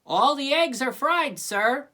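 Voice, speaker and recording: A woman's voice: high voice, lively intonation, slow, pushed and bright, pitched above the performer's own voice, exaggerated voice. An earnest little storybook girl, played by a woman, polite and a bit clueless. She talks in a raised, chipper voice with dutiful eagerness. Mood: eager.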